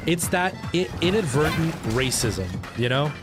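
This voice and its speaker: A young man from Canada chatting casually while live streaming a game, expressive and prone to repeating himself for emphasis. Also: fairly high pitch, moderate pace. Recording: music playing behind the voice.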